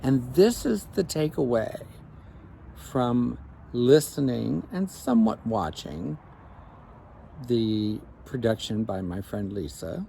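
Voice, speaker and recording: A man, a life coach, speaking slowly and reflectively in an evening vlog recorded outdoors on a phone. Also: mid-range voice, vocal fry, mellow, a little hiss or hum. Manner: slow, measured, reflective.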